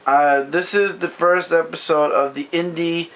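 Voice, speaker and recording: A man losing his voice and sounding hoarse, chatting loosely about comics from behind the camera in a bedroom. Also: on the high side, steady tone, unhurried, a bit bright. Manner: rambling, loose and self-correcting. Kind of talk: casual comic book review chat. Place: bedroom at home. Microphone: camera mic, speaking from behind the camera.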